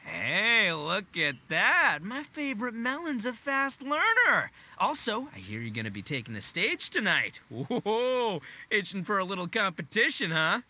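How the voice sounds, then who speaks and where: A man's voice: high voice, very animated, a little slow, few pauses, background noise. A man voicing a boisterous, cocky but good-natured young gang boss in a video game, with slangy, swaggering talk. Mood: cocky teasing.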